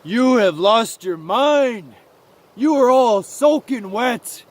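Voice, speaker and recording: A man voicing a stern, dismissive leader of mice in a story, deliberate and scolding. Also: extremely high pitch, expressive, pitched above the performer's own voice, heavily acted. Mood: stern, rejecting. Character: stern, dismissive lead mouse shunning an outcast.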